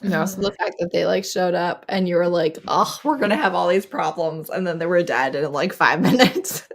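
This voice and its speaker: A woman's voice: on the low side, normal speed, gravelly. A woman talking casually as a panelist in a live book club chat.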